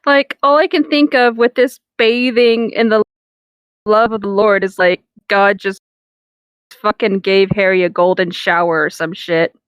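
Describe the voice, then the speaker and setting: A woman's voice: mid-range voice, steady tone, measured pace, clean tone, no room sound, dull audio. A woman co-hosting a comedic reading of fan fiction, irreverent and giggly, slipping between dramatic delivery and casual banter. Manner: giggly, breaks into laughter while reading; irreverent, crude joking.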